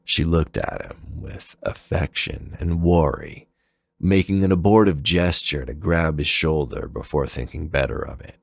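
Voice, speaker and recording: Male narrator for dramatic fan fiction: creaky, close dry sound, deliberate and measured. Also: very deep voice, lively intonation, no room sound.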